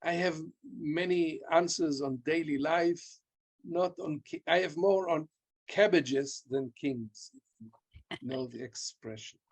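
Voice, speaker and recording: Older man, gravelly, halting. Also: on the high side, low-quality recording. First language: Hebrew and German. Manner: halting, repeats and restarts words. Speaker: guest lecturer. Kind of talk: Q&A after a lecture. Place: home study lined with bookshelves. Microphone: video call, laptop webcam.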